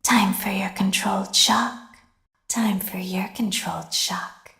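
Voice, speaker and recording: Casting: cold, cruel animatronic; creaky, unhurried, whispery threats with echo, horror game. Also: female voice, medium pitch, steady tone, pushed and bright, full character voice. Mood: coldly threatening. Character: cold, vengeful female animatronic, calmly cruel. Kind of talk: horror video game villain lines. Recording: echoing voice.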